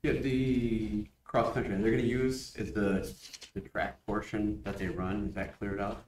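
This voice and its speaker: A board member asking casual, halting questions during a school board meeting. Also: male voice, fairly low pitch, level tone, a little mellow. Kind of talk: meeting questions and chair remarks. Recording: distant meeting audio with faint noise.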